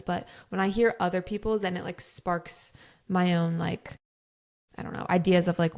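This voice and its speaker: A young woman co-hosts a podcast, thinking out loud in long, wandering sentences packed with "like". Her voice is a bit low, with a gravelly vocal fry running through her talk. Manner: talkative and rambling, many "like" fillers. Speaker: podcast co-host. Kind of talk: casual chat.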